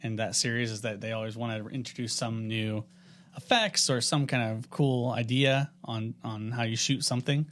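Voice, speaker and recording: A man's voice: mid-range voice, steady tone, normal speed, fairly bright tone. A man co-hosting a podcast in casual chat, with a hesitant, noncommittal way of putting things. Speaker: podcast co-host.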